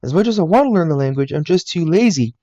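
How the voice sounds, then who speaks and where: A man's voice: a little high, lively intonation, fast, warm tone, no room sound, dull audio. A man in his twenties from the United States, earnestly narrating a scripted voice-over about himself and his channel from a game room at home. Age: 20s. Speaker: YouTuber. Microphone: laptop mic.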